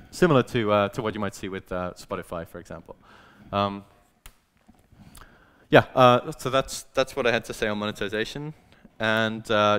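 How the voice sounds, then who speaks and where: A man's voice: fairly low pitch, a bit bright, clear, resonant tone. A young man giving a casual presentation talk as a conference speaker, conversational and full of fillers and restarts.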